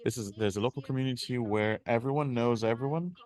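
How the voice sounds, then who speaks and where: A man interpreting live at a seminar, speaking haltingly in pieced-together phrases with repeats. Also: mid-range voice, darkish tone.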